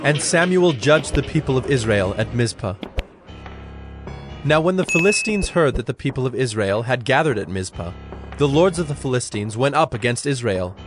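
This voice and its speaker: A man narrating scripture from a script in a measured, solemn storytelling manner. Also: medium pitch, animated, music in the background.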